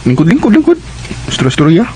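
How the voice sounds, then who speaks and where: A man's voice: on the high side, huge pitch swings, very fast, a little mellow, slight background noise, rough recording. A man playing an eager, bashful young student suitor in a Cebuano radio drama, with a Cebuano Filipino accent. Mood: playful. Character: eager, bashful young male student courting his classmate.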